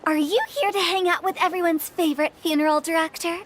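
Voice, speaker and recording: A woman's voice: very high voice, fairly even intonation, quick pace, background noise. An actress voicing a playful, mischievous young female funeral director with a teasing, sing-song manner.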